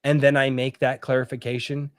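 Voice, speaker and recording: A man hosting a podcast, arguing emphatically and cursing freely. He slows down to hammer his points home. His voice sits at an average pitch and sounds dry and close to the mic. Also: little pitch movement, smooth, no room sound. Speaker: host. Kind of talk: heated podcast discussion.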